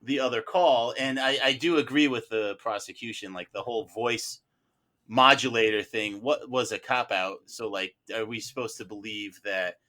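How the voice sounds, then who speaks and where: Bright, forceful man debating films. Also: mid-range voice, normal speed. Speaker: panelist on a mock-trial film podcast. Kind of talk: casual film debate.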